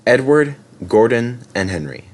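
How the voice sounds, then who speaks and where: A man's voice: medium pitch, very animated, measured pace. A man narrating a children's story as a storybook reader.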